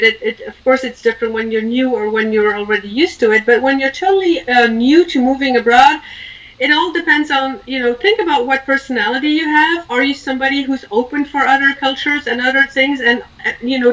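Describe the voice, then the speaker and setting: Woman from Belgium, expat coach being interviewed. High, very bright voice, earnest and emphatic delivery. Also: distant mic, poor audio. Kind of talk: informal interview talk giving advice.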